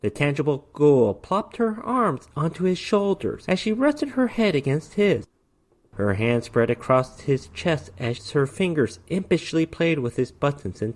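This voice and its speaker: A man's voice: medium pitch, very animated, measured pace, darkish tone. Man giving a dramatic, swooping story reading. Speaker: story reader.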